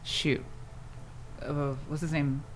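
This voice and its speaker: A woman's voice: low voice, level tone, takes it slowly, a little hiss or hum. A woman co-hosting a podcast, chatting casually and informally in group commentary. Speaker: podcast co-host. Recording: music playing behind. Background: music.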